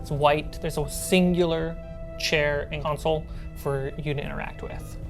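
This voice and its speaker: A woman narrating a tabletop role-playing game as game master, describing things in an informal, halting way. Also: low-pitched, steady tone, vocal fry, music in the background.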